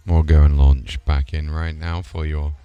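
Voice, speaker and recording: A man's voice: a bass voice, little pitch movement, unhurried, clear, resonant tone, dull audio. A man chatting casually as a game livestreamer, thinking aloud in an informal way. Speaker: live streamer.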